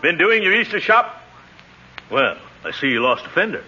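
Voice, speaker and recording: A man's voice: fairly high pitch, very animated, normal speed, lo-fi sound. An actor playing an easygoing, hesitant male neighbour in a 1940s radio comedy, on a very noisy old recording. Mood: sociable.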